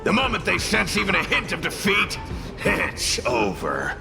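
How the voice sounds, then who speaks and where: A man voicing a cranky, cocky spirit companion in a video game, brash and gravelly. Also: high voice, huge pitch swings, a little slow, exaggerated voice. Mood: cocky, instructive. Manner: brash, swooping, exclaiming. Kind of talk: scripted video game voice acting. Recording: voice under prominent music.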